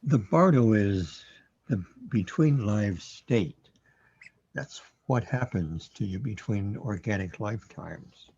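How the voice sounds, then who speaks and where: A man playing a wry, scornful afterlife guide with a droll, deliberate delivery in a sci-fi radio drama, recorded lo-fi. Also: fairly low pitch, expressive, a little mellow, husky, full character voice. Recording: dull, lo-fi sound.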